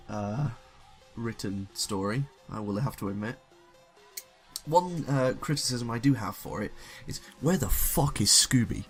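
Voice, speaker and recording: A young man reading a story aloud and talking casually as a comic YouTuber. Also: fairly low pitch. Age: young adult. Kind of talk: scripted story narration and casual comic commentary.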